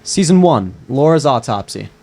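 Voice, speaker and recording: A man's voice: mid-range voice, expressive, normal speed, darkish tone, slight background noise, close to an everyday voice. A man playing an earnest, teasing young best friend in a screenplay table read.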